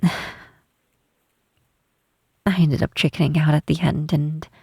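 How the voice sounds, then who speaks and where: A tall half-troll woman cleric, played by an actress in an ASMR roleplay, shy and weighed down by guilt. She talks very slowly and haltingly, in a soft voice with a gravelly creak, recorded close and dry. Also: deep voice, level tone, no room sound, full character voice. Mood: guilty, regretful. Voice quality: soft, husky, breathy. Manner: hesitant, halting, apologetic, with sighs. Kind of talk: ASMR roleplay, intimate scripted monologue.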